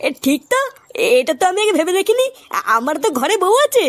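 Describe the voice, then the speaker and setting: A woman voicing a gullible, pushy village husband from a comic cartoon. The pitch is extremely high and jumps about as the crude, blustering words tumble out quickly. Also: sing-song. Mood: alarmed. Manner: blustering, swearing.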